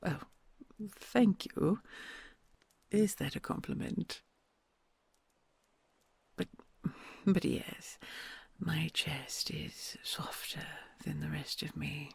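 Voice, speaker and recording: A woman voicing a kind, gentle female red dragon queen in an ASMR audio roleplay, talking in a soft, soothing, mostly whispered way. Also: low voice, very slow, vocal fry, pauses often, breathy edge, no room sound, exaggerated voice. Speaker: voice actor.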